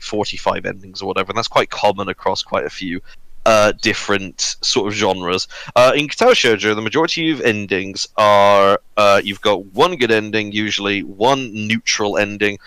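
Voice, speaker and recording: A man's voice: on the low side, pushed and bright, no echo, low-quality recording. A man hosting a casual podcast discussion, talking in a rambling, jokey, sweary way. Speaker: podcast host.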